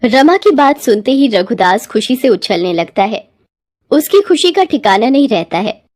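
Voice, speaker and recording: A woman's voice: fairly high pitch, very animated, normal speed, darkish tone, no room sound, clean studio sound. A woman narrator reading a scripted moral bedtime story.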